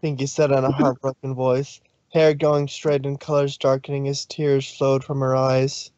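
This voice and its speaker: A man reads the narration of a fan story on a casual stream, mid-pitched and unhurried, now and then stumbling. The sound is dry with no room, and slightly dull. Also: flat delivery, a little mellow, no echo. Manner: plain reading with slips and laughs.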